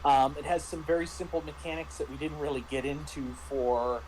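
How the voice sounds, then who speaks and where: A man speaks in a somewhat high-pitched voice. He explains things thoughtfully and haltingly, as in a casual roundtable review among gamers. A faint layer of noise sits behind him. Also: steady tone, a little mellow, poor audio. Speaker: tabletop game master and guest reviewer.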